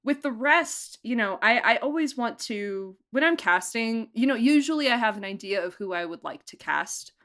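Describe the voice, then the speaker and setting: A woman's voice: fairly high pitch, animated, normal speed, few pauses, fairly bright tone. A woman speaking as an audio drama director and producer in a casual interview, enthusiastic and emphatic, often giggly.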